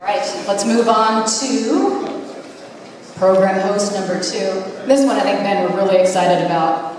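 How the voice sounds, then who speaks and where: A woman's voice: medium pitch, a little slow. A woman presenting awards at a public event in an enthusiastic, chatty way. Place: large hall at a public event. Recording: echoey PA in crowded hall, distant.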